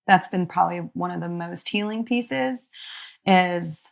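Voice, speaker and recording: Young adult woman, therapist guest, reflective, slightly low, fry in the voice. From Egypt. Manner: soft, thoughtful, many pauses and ums. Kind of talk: reflective interview talk.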